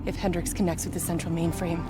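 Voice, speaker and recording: A woman's voice: fairly low pitch, little pitch movement, quick pace, close to an everyday voice. Actress playing a grave female military operative, over music. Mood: grave, urgent. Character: serious female intelligence operative in futuristic military thriller. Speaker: video game character. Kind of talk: dramatic video game cutscene dialogue. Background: loud music.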